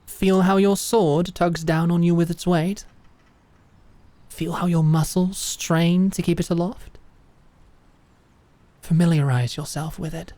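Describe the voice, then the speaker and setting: Woman acting slow, measured female knight mentor. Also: lowish voice, steady tone, mellow, no room sound. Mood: calm, guiding. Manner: measured, deliberate instructing. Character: female knight mentor, calm, commanding, encouraging swordmaster. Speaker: voice actor. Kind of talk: audio drama acting.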